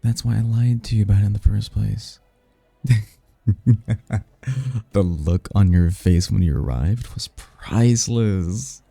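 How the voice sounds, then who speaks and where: Guy doing a smooth talking demon prince, really low n husky, teasing and seductive, asmr roleplay. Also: mellow, no room sound, exaggerated voice. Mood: teasing, amused. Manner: teasing, smug, drawn-out flirtatious delivery. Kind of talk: audio roleplay, ASMR-style voice acting.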